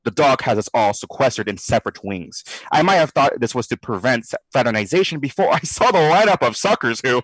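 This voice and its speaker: An actor playing a brash, sardonic skeptic who talks in slangy, theatrical quips in an audio drama diary. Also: male voice, mid-range voice, expressive, brisk, hardly any pauses, a bit bright, breathy edge, no room sound, low-quality recording. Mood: bored, sardonic. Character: brash wisecracking skeptical man, boozy ghost-study participant.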